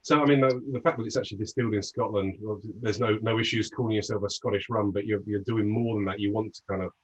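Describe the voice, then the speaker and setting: A man's voice: lowish voice, fairly even intonation, quick pace, breathy edge, lo-fi sound. A man interviewing a brand's founders in a casual chat, hesitant with many fillers.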